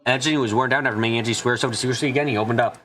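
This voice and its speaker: A man's voice: medium pitch, steady tone, racing, fairly bright tone, a little hiss or hum. A man narrating a story in an amateur fan dub. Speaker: streamer reading a translation. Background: background music.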